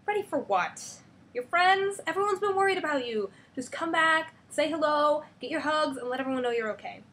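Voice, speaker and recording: A woman's voice: sits high, expressive, normal speed, fairly bright tone, voice pushed up. A woman playing an insistent, bossy superheroine coaxing a friend, in an emphatic storybook read-aloud. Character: bubbly, bossy heroine coaxing her old friend. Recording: noisy webcam recording.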